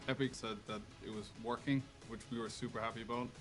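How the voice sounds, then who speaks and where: A man's voice: medium pitch, fairly even intonation, fast. A man from Ireland, speaking in enthusiastic interview soundbites about his team. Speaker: map-building team member. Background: music.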